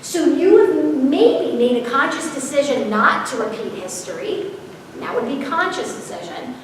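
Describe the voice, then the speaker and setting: High-voiced woman seminar speaker, heard from far off in a big echoey hall, loud and unmiked. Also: unhurried, slight background noise. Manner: loud, energetic, unmiked voice projecting to a room. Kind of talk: live seminar talk to parents. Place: school auditorium or large hall. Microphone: camera far off, speaker not miked.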